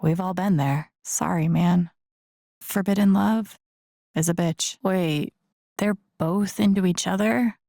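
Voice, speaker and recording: A woman's voice: on the low side, takes it slowly, gravelly, mellow, dry recording, studio quality, exaggerated voice. An actress playing an empathetic young woman in a video game, thinking aloud in a casual, wry, understated way. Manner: casual, wry, muttering to herself. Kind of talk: understated video game voice acting, interior monologue.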